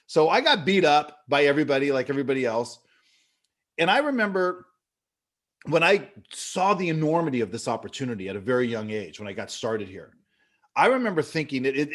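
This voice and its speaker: Earnest motivational speaker, man in his fifties. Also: medium pitch. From California. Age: 50s. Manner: earnest, storytelling, many fillers and restarts. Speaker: business leader speaking at an online event. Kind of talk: motivational talk.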